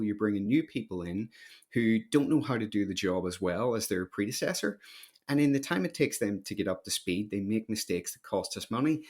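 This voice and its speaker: Northern irish guy on a podcast, kinda low voice, chatty storytelling, really stresses his points. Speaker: podcast guest, company founder. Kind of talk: conversational storytelling in an interview.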